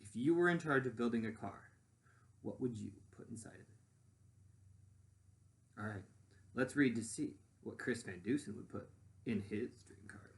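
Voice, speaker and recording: A man reading a picture book aloud in an expressive storytelling style, with simple explanatory asides. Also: medium pitch, normal speed, gravelly, pauses often. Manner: animated, dramatic emphasis, explains words simply.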